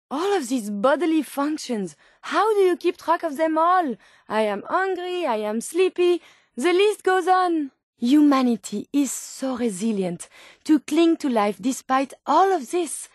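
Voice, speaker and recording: An actress voicing an earnest, naive robot scientist turned human in a video game, with a French accent and formal, precise phrasing. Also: female voice, high voice, a little mellow, no room sound, clean studio sound. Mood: earnest, eager. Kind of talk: scripted video game character dialogue.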